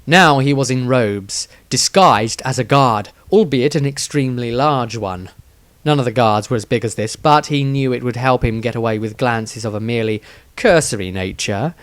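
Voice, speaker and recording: A man reading his own fantasy story as scripted narration, with dramatic delivery and deliberate pauses. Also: mid-range voice, no echo.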